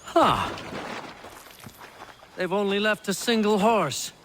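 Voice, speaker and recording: An actor playing a fervent, excitable colonial patriot in a video game, speaking in an urgent, formal, theatrical way. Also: male voice, high voice, slow, long reverb tail, slight background noise, heavily acted. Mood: excited, urgent. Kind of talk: video game character acting.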